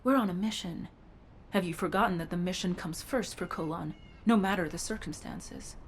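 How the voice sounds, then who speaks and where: A woman's voice: on the low side, normal speed, roomy sound, far from the mic, slight background noise, natural voice. A woman voicing a cold, mission-driven cyborg infiltration agent in a video game, with a clipped, businesslike delivery. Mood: stern.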